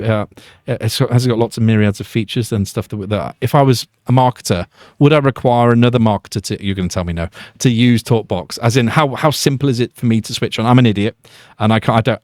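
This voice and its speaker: A man's voice: mid-range voice, a little mellow, no echo, clean studio sound. A man hosting a podcast in casual interview chat, with an informal, self-deprecating way of talking. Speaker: podcast host.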